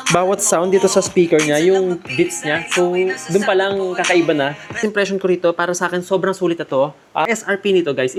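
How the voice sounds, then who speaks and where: A man with a Filipino accent gives a casual, chatty product review, speaking directly to viewers in a jokey way. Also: fairly high pitch. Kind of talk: casual unboxing vlog narration. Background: background music.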